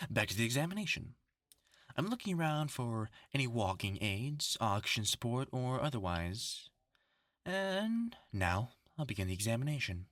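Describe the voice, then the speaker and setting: A man's voice: medium pitch, fairly bright tone, no echo, clean studio sound. A man playing a vengeful test subject turned mock doctor in a horror audio roleplay, commanding, sardonic and mock-clinical.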